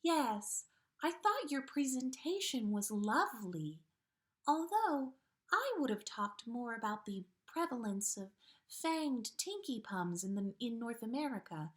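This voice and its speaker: A woman's voice: fairly high pitch, big pitch swings, measured pace, pitched above the performer's own voice. A woman voicing a dreamy, quirky teenage witch girl with an airy voice, in a read-aloud story. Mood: earnest.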